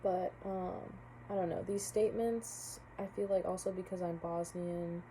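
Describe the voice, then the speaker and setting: Soft-spoken young woman, low and mellow. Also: fairly even intonation, unhurried, a little hiss or hum, rough recording. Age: young adult. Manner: hesitant, many fillers and restarts. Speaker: college student. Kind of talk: casual spoken class reflection.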